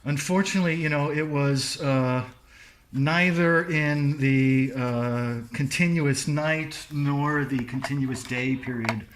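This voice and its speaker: A man chatting casually as a podcast host, telling stories in an animated way. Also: mid-range voice, slow pace, a little hiss or hum. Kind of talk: casual chat.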